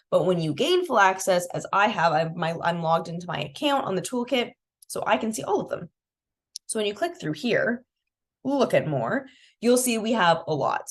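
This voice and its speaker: A young adult woman, a bit congested and sniffly, walking viewers through a resource toolkit in a casual, chatty way with many fillers. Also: on the low side. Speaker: programs manager presenting a resource toolkit. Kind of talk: casual screen-share walkthrough.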